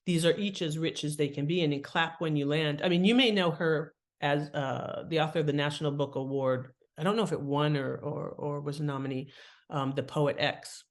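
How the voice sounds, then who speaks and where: A woman's voice: sits low, steady tone, normal speed. A woman talks as an expert guest on an interview show. She explains things conversationally, like someone giving practical advice, and leans on her key words. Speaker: guest author and writing expert.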